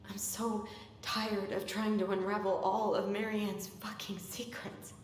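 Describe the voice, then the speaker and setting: An actress playing an earnest, frustrated, grieving young American adult in a video game drama, speaking plainly. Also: female voice, mid-range voice, little pitch movement, measured pace, echoey room, slight background noise, close to an everyday voice. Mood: frustrated, hurt.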